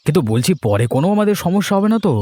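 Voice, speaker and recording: A man's voice: sits high, very animated, very fast, a little mellow, no room sound. A man voicing a simple, trusting village husband in a cartoon story, speaking in a mild, hesitant manner. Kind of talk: Bengali cartoon story voice acting. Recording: music bed under the voice.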